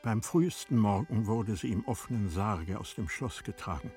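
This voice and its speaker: A man narrating a tragic classic story in a radio play, in a solemn, measured, dramatic manner. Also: fairly low pitch, lively intonation, a little mellow, soft background music. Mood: grave.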